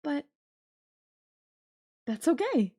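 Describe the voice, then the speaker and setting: A woman's voice: sits high, very animated, drawn-out words, dark tone, exaggerated voice. A woman voicing a possessive yandere girlfriend in an audio roleplay, coaxing and teasing her captive with veiled threat. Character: possessive yandere girlfriend, sweetly menacing captor. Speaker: voice actor.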